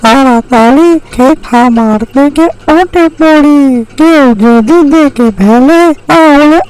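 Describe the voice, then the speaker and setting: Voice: sits high, takes it slowly, darkish tone, rough recording. A performer voicing a timid, wheedling, mischievous crow in a cartoon fairy tale, with a pleading manner. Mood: worried.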